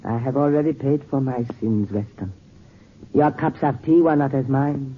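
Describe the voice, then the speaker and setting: An actor playing a doomed, guilt-ridden villain with a solemn, theatrical, measured delivery in old radio style. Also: male voice, mid-range voice, close to an everyday voice. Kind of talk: 1940s radio acting. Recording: muffled, noisy old radio recording.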